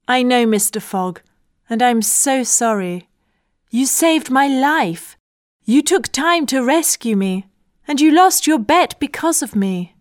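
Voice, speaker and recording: A narrator playing a high-voiced, tender, devoted lady. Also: lively intonation, takes it slowly, no echo, studio quality. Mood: tearful, sorry. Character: gentle, tearful, devoted young lady, Missus Aouda. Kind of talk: dramatised audiobook dialogue.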